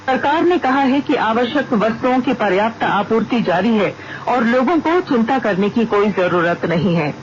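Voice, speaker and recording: High-pitched man reading a radio report. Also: animated, normal speed. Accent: Indian. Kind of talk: scripted public advisory report. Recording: dull lo-fi radio audio, clear noise.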